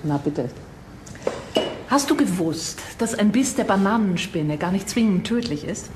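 An actress playing a composed, knowing forensic expert who explains with dry teasing in a German crime radio drama. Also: female voice, mid-range voice, expressive, a little slow, noisy background, lightly acted. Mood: knowing, wry.

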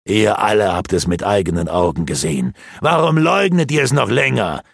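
A sinister dark elf sorcerer, as a male reader puts him on purrs his temptations low and hushed. The tone gloats and sneers, with a husky edge. The sound is close and dry, like a storybook reading. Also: very animated, normal speed, dry recording, full character voice. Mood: sneering, persuasive.

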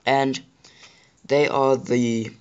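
Chatty gamer with a sore throat. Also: male voice, medium pitch, level tone, very slow, clear, resonant tone, highs cut off. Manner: chatty, apologetic, addresses viewers directly. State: sore throat, voice a bit rough. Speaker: gaming channel host. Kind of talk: casual gameplay commentary.

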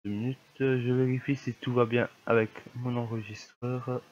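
A man's voice: medium pitch, flat delivery, normal speed, a little mellow, highs cut off. A young man with a cold talking through his gameplay in casual, grumbling commentary, with a slightly stuffy voice. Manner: grumbling, easily annoyed at lag. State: has a cold, coughing. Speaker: gamer.

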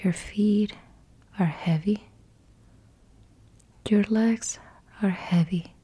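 A woman whispering slow, soothing guided yoga nidra instructions into a close mic. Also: medium pitch, warm tone, breathy edge. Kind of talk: guided meditation.

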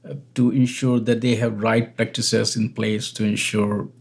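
Male host, video call, measured questions, raspy low texture. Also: little pitch movement. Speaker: interview host. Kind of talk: remote video interview.

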